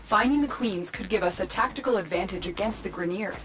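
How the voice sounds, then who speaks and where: A woman playing a calm, commanding mission guide in a sci-fi game, measured and serious, with music behind her. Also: medium pitch, close to an everyday voice. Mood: composed, urgent. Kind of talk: scripted game mission briefing.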